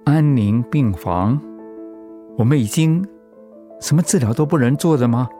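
A man's voice: mid-range voice, animated, a little slow, dark tone, faint music behind, lightly acted. A man acting in a radio drama as a humble, anguished pastor and devoted husband, speaking in a heartfelt, sighing way. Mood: resigned, grateful.

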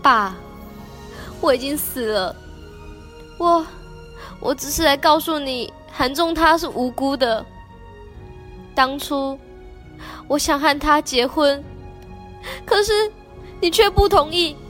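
Woman as a high-voiced, plaintive ghost princess. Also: fairly bright tone. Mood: earnest, regretful. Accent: Taiwanese Mandarin. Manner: halting, plaintive, repeats words. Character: lovelorn, devoted young ghost princess. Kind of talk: amateur radio drama acting. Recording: background music under the voice.

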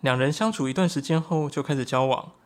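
An actor playing an earnest, excitable young shapeshifting tribesman in a Chinese audio drama, explaining things expressively. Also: on the high side, quick pace, natural voice. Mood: solemn storytelling.

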